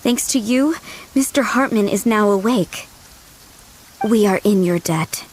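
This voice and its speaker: A woman's voice: mid-range voice, measured pace, close to an everyday voice, poor audio. A woman voicing a gracious, courteous head housemaid in a video game, speaking in polite, formal phrases.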